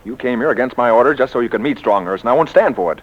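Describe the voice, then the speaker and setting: A man's voice: medium pitch, animated, rapid-fire, lightly acted. An actor playing an exasperated, sputtering male publisher in a 1940s radio comedy-drama. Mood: indignant. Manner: indignant, sputtering, stammering. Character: flustered, exasperated male book publisher and boss. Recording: muffled old 1940s radio recording.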